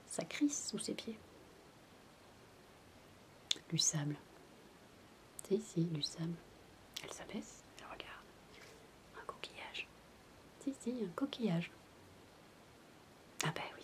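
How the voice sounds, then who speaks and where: Whispering woman, soft ASMR babysitter. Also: mid-range voice, expressive, takes it slowly, long pauses. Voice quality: mostly whispered, breathy. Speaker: ASMR roleplayer playing a babysitter. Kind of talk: soft-spoken ASMR bedtime storytelling. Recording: noisy homemade recording without binaural mic.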